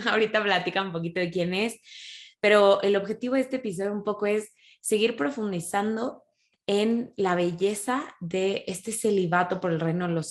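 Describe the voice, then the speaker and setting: A woman hosting a podcast, talking with eager enthusiasm and frequent restarts. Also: fairly low pitch. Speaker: podcast host. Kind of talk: casual podcast introduction.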